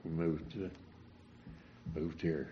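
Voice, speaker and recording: A man in his eighties, born in Missouri, answers interview questions slowly and haltingly. His voice is very deep, and the recording is dull and lo-fi with a faint hiss. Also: flat delivery. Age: 80s. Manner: halting, searches for words. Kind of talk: oral history interview.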